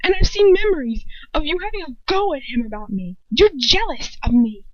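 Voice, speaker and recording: A defiant young storybook witch acted by a woman reader, pleading and insistent in a high voice. She sounds desperate and earnest. The sound is dry and slightly muffled. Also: big pitch swings, a little fast, no room sound. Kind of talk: amateur fiction read-aloud.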